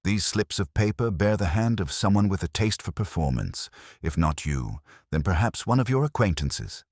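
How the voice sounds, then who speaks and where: A man voicing a shrewd, gentle police inspector in a mystery story, deliberate and dryly amused. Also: deep voice, animated, no room sound, studio quality, voice pushed up. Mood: polite probing.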